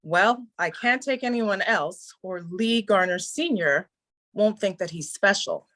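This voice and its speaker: A woman's voice: medium pitch, measured pace, a bit bright, close to an everyday voice. Woman reading a dry, confident ad executive. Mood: matter-of-fact. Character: wry, confident male advertising executive. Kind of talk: table read of a TV drama script.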